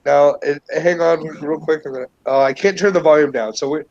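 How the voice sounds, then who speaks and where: A man's voice: fairly high pitch, steady tone, fairly quick, darkish tone. A man with a stuffed-up cold reading a news article aloud as a stream co-host, in a loose casual way. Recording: dull lo-fi call audio.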